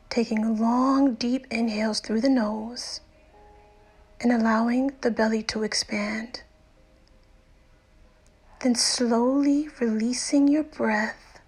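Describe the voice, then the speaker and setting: A woman narrating a guided meditation in a soothing, unhurried way with deliberate pauses and soft, breathy phrase endings. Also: on the high side, low-quality recording. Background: music.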